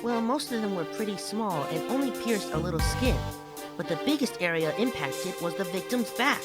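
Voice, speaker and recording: A man voicing an excitable, friendly cheetah police officer testifying in a fan audio drama, chatty and earnest. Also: high-pitched, moderate pace. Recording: loud music under the voice. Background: loud music.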